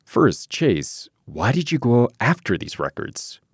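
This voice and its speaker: A man's voice: mid-range voice, big pitch swings, takes it slowly, gravelly, husky, no room sound, clean studio sound. A man hosting a news podcast, reading scripted news and putting questions to reporters.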